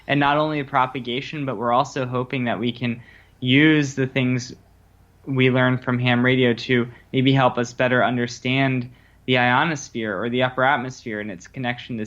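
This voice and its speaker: A man with a mid-pitched voice comes through slightly muffled, band-limited call audio. He speaks as an expert guest in a radio interview, explaining things earnestly in measured, conversational phrases. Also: level tone, fairly bright tone. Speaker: guest researcher and ham radio operator.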